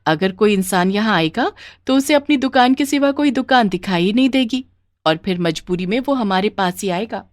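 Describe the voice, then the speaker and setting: A woman voicing a sensible, hopeful young daughter who talks earnestly and reassuringly in an animated moral story. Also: medium pitch, normal speed, dry recording, close to an everyday voice.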